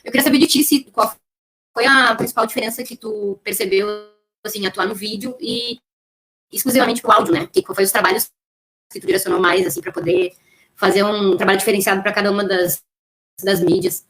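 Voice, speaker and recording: A woman's voice: mid-range voice, fairly quick, fairly bright tone, poor audio. A woman with a Brazilian accent interviewing guests in a conversational style on a podcast.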